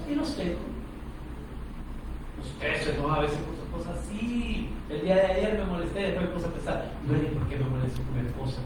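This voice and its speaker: A man preaching a Sunday sermon in church, asking rhetorical questions and acting out what people say. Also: sits high. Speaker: pastor. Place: church hall. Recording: echoey church hall, distant mic, background noise.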